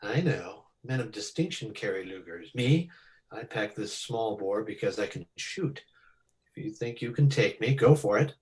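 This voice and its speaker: A big, menacing tough guy from a radio detective play , acted by a man. His voice is on the low side. He speaks in clipped, slangy threats, sure of himself. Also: lively intonation, normal speed, a little mellow, lightly acted, low-quality recording. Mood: menacing, cocky. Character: cocky, menacing big male gunman. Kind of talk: 1940s radio detective drama.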